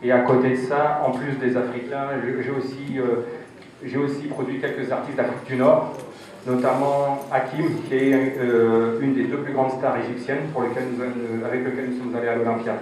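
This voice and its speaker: A man from Cameroon, a music producer, answers questions at a press conference with level, flat intonation and many hesitant pauses. He sounds distant from the microphone in a very echoey hall, with a crowd murmuring behind him. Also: mid-range voice. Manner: steady, even delivery with frequent hesitations.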